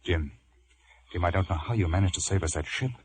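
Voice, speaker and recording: An actor playing a protective, earnest gentleman doctor in a classic film, measured yet urgent. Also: sits low, lightly acted. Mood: earnest concern.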